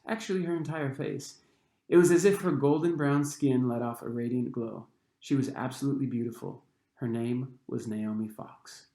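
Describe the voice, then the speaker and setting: A man, the author, reading his own young adult novel aloud with expressive storytelling. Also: medium pitch, echoey room. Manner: expressive storytelling, acting out the dialogue.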